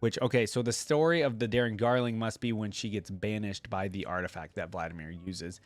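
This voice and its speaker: A man giving casual reaction commentary, reading aloud and thinking out loud in a conversational way. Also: on the low side, fairly even intonation, brisk, dry recording. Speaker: reaction video host.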